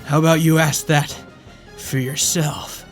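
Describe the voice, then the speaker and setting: A man's voice: on the high side, flat delivery, unhurried, soft background music, close to an everyday voice. A man playing a brave, earnest young hedgehog hero in an audio drama. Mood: defiant, resigned.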